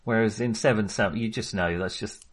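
A man's voice: fairly low pitch, normal speed. A man discussing films casually as a podcast host, in a chatty, hesitant, rambling way.

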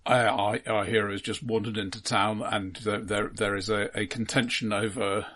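A man co-hosting a casual film discussion, analytical, halting and repeating words as he forms each point. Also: lowish voice, level tone, fairly bright tone. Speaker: film podcast co-host.

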